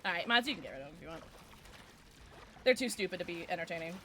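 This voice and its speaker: A woman streamer with a low alto voice, chatting casually with her viewers while she plays, sarcastic and quick to break into squeals and laughs. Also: lively intonation, a bit bright, slight background noise. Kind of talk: casual livestream chat while gaming.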